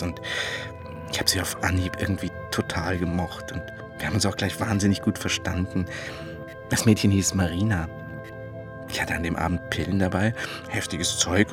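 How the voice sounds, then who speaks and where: A low male voice over music, an eccentric radio-play character. He is painfully earnest and sentimental, fussy in how he confides, and prone to outrage, repeating his phrases. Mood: wistful, earnest. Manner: confessional, fussy, repeats himself in agitation. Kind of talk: radio play monologue and dialogue.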